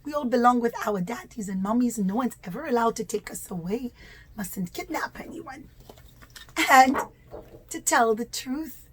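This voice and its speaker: A woman with a high voice speaks as a storyteller and religious teacher for young children. She keeps her wording simple and her delivery expressive, leaning on key words and stretching them out. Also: slight background noise, poor audio.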